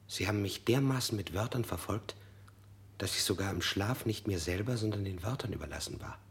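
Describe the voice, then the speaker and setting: A man's voice: lowish voice, fairly quick, lightly acted. An actor playing a frightened man under interrogation in a radio drama, who keeps correcting himself and sometimes drops to a whisper. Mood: confused.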